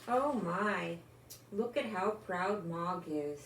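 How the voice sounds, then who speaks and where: An older woman reads a children's storybook at a leisurely pace. She is expressive and speaks directly to young listeners with gentle asides. The room is echoey, with some noise behind her. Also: on the low side, takes it slowly. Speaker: storyteller grandmother reading a picture book.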